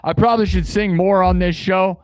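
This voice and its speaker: A man hosting a music livestream, chatting casually and slangily with his viewers and giving hyped shout-outs. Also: steady tone, a little fast. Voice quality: bass singing voice.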